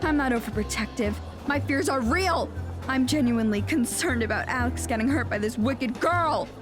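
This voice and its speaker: Woman as pushy teenage girl, high voice, haughty, indignant, audio drama, music behind. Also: expressive, normal speed. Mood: accusatory. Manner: emphatic, accusatory, haughty. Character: pushy, self-important teenage schoolgirl, overprotective and indignant.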